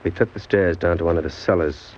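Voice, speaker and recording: A man's voice: deep voice, fast, a little hiss or hum, natural voice. An American actor playing a condemned small-time crook in a 1940s radio crime drama, telling his story in hard-boiled slang with bitter, wry resignation. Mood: wry, tense. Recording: dull old radio recording, loud music behind.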